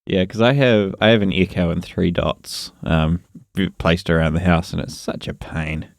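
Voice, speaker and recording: A man's voice: low-pitched, fairly even intonation, normal speed, creaky, no echo, studio quality. A man with a New Zealand accent, co-hosting a podcast in a wry, chatty way. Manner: wry, chatty, laughs often. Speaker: podcast co-host. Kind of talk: casual tech chat.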